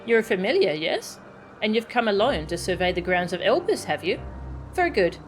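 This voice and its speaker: A woman's voice: mid-range voice, sing-song, fairly quick, close to an everyday voice. A woman voicing a polite, attentive guide in a video game, speaking in a formal, solicitous manner. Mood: welcoming. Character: polite, attentive guide addressing a small familiar. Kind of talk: video game dialogue read aloud. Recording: game music behind the voice.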